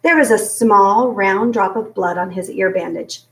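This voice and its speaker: A woman reading a children's book aloud in an expressive storytelling style. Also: mid-range voice, unhurried. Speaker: story reader. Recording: roomy echo, distant from mic.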